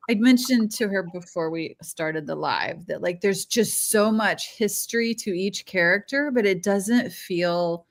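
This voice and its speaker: A woman's voice: fairly high pitch, a bit bright. A woman co-hosting a book chat over a video call, talking in a casual, informal way. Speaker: book club co-host.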